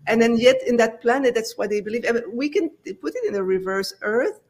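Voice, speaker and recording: A woman's voice: on the high side, expressive, brisk, darkish tone. A middle-aged woman from Belgium, a native French speaker with a French-speaking Belgian accent, telling her story as an interview guest, full of hesitations and restarts. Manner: hesitant, many fillers and restarts. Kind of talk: casual conversational interview.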